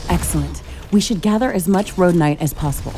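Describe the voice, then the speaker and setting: Over prominent music, an actress voices a female scientist-commando in a video game. Her voice sits fairly low. She is keen and self-assured, laying out analysis in crisp, scripted phrases. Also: quick pace, mellow, close to an everyday voice. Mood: focused, keen. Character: Ashley, eager female scientist-commando in a mech suit. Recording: music bed behind the voice.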